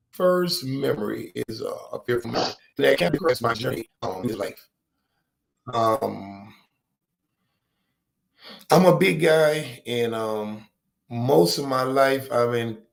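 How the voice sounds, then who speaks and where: Deep gravelly older man, halting. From Rochester, New York, USA. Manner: reflective, halting, many ums. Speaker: guest. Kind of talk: personal recovery story.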